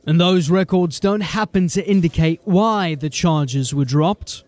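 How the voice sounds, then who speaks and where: A man playing a brisk, dryly authoritative police sergeant in a fantasy audio drama, speaking in a clipped, formal, wry way. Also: high voice, a little mellow, no room sound. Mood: brisk, businesslike.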